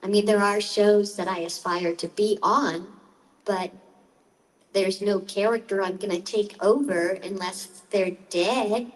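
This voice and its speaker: A woman's voice: medium pitch, steady tone, a little slow. A chatty woman speaks casually on a convention panel, adding light jokes as she goes. She is picked up by a mic set some distance away in the room. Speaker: panelist voice actor.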